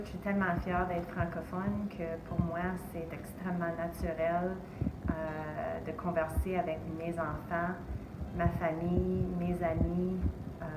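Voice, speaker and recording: Middle-aged woman with a Canadian French accent, earnest and halting, and she talks slowly with a slightly low voice. She is caught from a distance in a roomy space. A steady background noise runs under her. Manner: earnest, hesitant with many fillers. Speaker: interviewee. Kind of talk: vox-pop testimony. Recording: distant, roomy, noisy recording.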